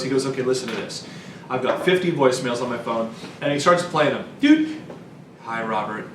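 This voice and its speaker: A man's voice: medium pitch, fairly bright tone. A man co-hosting a business show, giving casual sales advice in an anecdotal way and acting out quoted lines. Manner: anecdotal, acts out imagined callers and reactions. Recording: echoey room, distant mic, faint noise.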